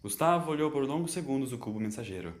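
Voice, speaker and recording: A man's voice: mid-range voice, animated, quick pace, echoey room. A man with a Brazilian accent reading scripted fiction as a dramatic narrator.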